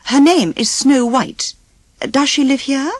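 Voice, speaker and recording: A woman's voice: high-pitched, slow, dry recording, voice pushed up. A woman voicing a courteous young prince in a storybook reading, speaking earnestly and formally. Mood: courteous, hopeful.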